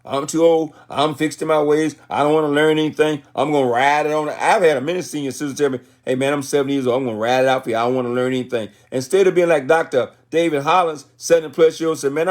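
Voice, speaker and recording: An American man in his sixties giving a motivational livestream talk in a folksy, colloquial way, repeating phrases for emphasis. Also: fairly high pitch. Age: 60s. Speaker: motivational business speaker.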